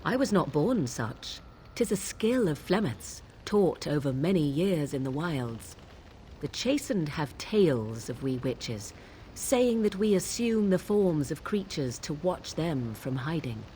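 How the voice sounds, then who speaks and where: A woman voicing a proud, aloof young witch of the wilds in a fantasy game, speaking in archaic, measured, formal phrases. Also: low voice, sing-song, warm tone, slight background noise. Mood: aloof, wry. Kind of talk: fantasy video game dialogue.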